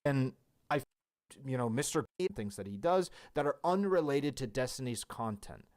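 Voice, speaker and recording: A man's voice: medium pitch, animated, normal speed, darkish tone. A man arguing in a stream debate, pressing the other side with questions and checking for agreement. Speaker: debater in a played stream clip.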